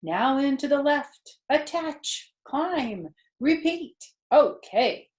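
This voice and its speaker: Storybook lady spider, played by a woman: high, sing-song, brisk self-encouragement, roomy sound. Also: animated, darkish tone. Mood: busy, self-encouraging. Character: busy female spider cheering herself on at work.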